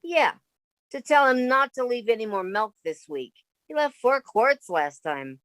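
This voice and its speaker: A woman's voice: a little high, expressive, unhurried, a bit bright. A woman playing a sensible, exasperated young roommate in a radio comedy. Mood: weary, exasperated. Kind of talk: 1950s radio sitcom reading.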